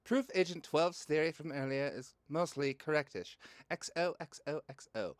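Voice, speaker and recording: A man's voice: fairly high pitch, expressive, moderate pace, no room sound, lightly acted. A man playing an awkwardly self-conscious godlike note writer who rambles and interrupts himself, in a comedy radio play read. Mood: scatterbrained.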